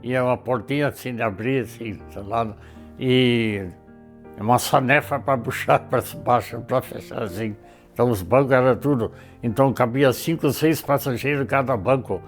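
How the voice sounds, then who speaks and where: A man's voice: medium pitch, soft background music. Rambling ninety-year-old man reminiscing. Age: 90s. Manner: rambling, slow recollection full of filler tags. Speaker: interviewee. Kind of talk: documentary interview reminiscence.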